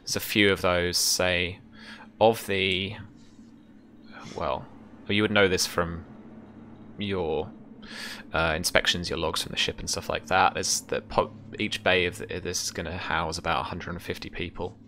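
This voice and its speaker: A young man working as game master, narrating a tabletop scene in a casual, halting, descriptive way. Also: low voice, flat delivery, sharp tone, slight background noise. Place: indoor room, remote session. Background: music.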